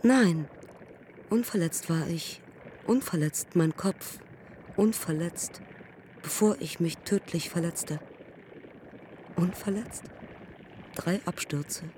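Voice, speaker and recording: An actress in a radio play playing a young pioneer woman aviator who recalls her crashes in terse, measured fragments. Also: fairly low pitch, darkish tone, a little hiss or hum. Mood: terse, haunted. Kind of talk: literary radio drama acting.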